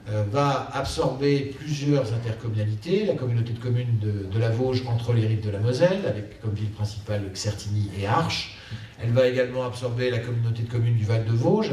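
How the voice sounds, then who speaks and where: A man giving a political speech as a local elected official, measured and emphatic, repeating key phrases for stress. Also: medium pitch, a little mellow. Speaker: local elected official addressing a town council. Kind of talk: political speech at a council meeting. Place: council chamber, indoors. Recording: echoey room, distant mic, faint background noise.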